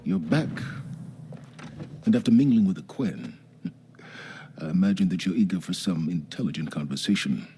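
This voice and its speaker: A low hum and noise sit behind an aloof older male intellectual. His voice is very deep and dark, velvety in tone. He speaks with dry, measured pomposity, like a superior scholar in a game cutscene. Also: animated. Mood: wry, superior. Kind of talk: naturalistic video game cutscene acting.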